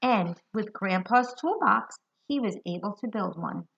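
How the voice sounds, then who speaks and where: Female storyteller, American, for a kids' read-aloud: very slow, clearly expressive delivery, bright tone, asks the listeners questions. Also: mid-range voice. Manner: expressive, deliberate, addresses young listeners with questions. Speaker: children's hospital educator reading a picture book. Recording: dull lo-fi sound.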